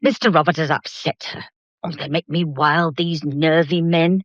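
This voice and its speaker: A woman's voice: low-pitched, fairly even intonation, moderate pace, dry recording, dull audio. An actress playing a long-serving lady's maid in a period drama, plain-spoken, disapproving and ominous. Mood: worried, ominous. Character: grumbling, foreboding old family lady's maid. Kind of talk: period radio drama acting.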